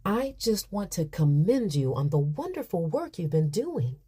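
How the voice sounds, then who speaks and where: Female HR officer in radio play: mellow, very animated pitch, pointedly polite. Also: measured pace, breathy edge, no room sound, clean studio sound, full character voice. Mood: ingratiating. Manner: effusively courteous, formal, pointed. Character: polished, probing female human resources officer.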